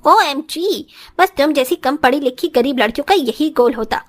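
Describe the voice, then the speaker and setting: An actress plays a spoiled young career woman in a Hindi moral cartoon. Her voice sits very high and swings sharply up and down, full of scorn and money pride, with English phrases thrown in. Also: animated, normal speed. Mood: haughty. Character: haughty, spoiled young working daughter-in-law who flaunts money. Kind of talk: moral story cartoon acting.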